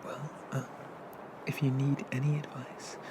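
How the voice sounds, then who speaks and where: A performer playing a friendly, bashful monster barista in a soft, hesitant, gently chatty whispered ASMR roleplay. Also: mid-range voice, level tone, a little slow, noisy background, close to an everyday voice. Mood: kind, helpful. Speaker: coffee shop barista.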